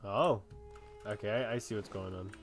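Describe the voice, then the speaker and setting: A man's voice: fairly low pitch, moderate pace, music in the background. A man giving casual gameplay commentary in offhand, muttered reactions. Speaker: gamer playing and reacting.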